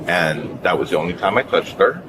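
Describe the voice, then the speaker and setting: A man's voice: deep voice, animated, brisk, noisy background. A man giving his account to police in insistent, clipped sentences, caught on body camera. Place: airport, in front of officers.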